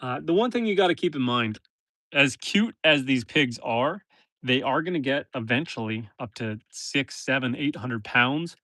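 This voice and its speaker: A man's voice: mid-range voice, expressive, normal speed, bright, forceful tone, no room sound. A man from northern Canada, stuffy-nosed, chatting casually on a live stream from his homestead, talking to viewers and his animals. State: stuffy nose. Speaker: homesteader livestreaming. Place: outdoors in a forest pen.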